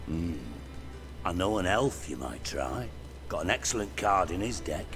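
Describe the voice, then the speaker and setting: A man's voice: low voice, sing-song, measured pace, a bit bright, faint music behind, heavily acted. An actor playing a male card player who gives tips in a fantasy video game. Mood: amiable. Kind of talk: video game dialogue acting.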